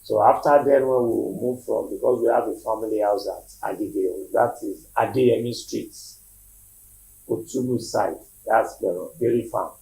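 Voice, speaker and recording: A man's voice: fairly low pitch, measured pace, creaky, mellow, low-quality recording. A man from Lagos with a Nigerian accent, talking casually as an interview guest, repeating himself and checking that he is understood.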